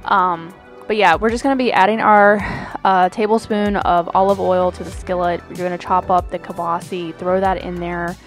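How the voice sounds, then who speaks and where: A woman in her thirties giving a relaxed, chatty voice-over with many fillers. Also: lowish voice, normal speed. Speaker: homemaking channel host. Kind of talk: casual voice-over narration. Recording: voice-over with faint music underneath.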